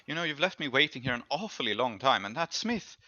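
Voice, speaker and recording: An imperious, wealthy noblewoman of a tabletop game, as acted out by a man. The voice is bright and genteel, dripping with condescension and possessiveness. It sits dry and close on a slightly muffled recording. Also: mid-range voice, sing-song, fast, no room sound. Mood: disdainful. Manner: condescending, genteel, calls people darling.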